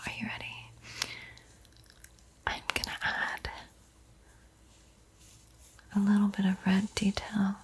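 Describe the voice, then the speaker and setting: A woman giving a gentle, encouraging art tutorial in a soft, breathy ASMR whisper. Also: mid-range voice, poor audio. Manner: slow, gentle, encouraging instruction. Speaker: host teaching a beginner painting tutorial.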